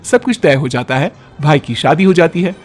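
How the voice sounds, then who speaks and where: A man's voice: a little high, expressive, normal speed. A man narrating a scripted story as a storyteller. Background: background music.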